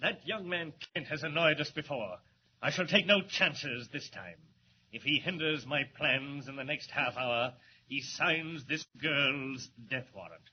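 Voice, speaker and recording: Cold, formal male villain played by a man for a 1940s radio serial, slightly high voice, muffled noisy recording. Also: natural voice. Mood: satisfied, threatening. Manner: formal, deliberate, threatening. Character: menacing male criminal mastermind, cold and commanding. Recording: noisy, band-limited old radio recording.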